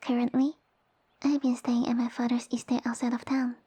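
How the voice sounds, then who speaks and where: A woman voicing a small, sassy female lamia who rules her village, speaking softly and breathily with formal, imperious phrasing in an ASMR roleplay. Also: fairly high pitch, flat delivery, fairly quick, no echo. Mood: commanding, smug. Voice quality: soft, breathy, whispery. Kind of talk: ASMR roleplay audio addressed to the listener.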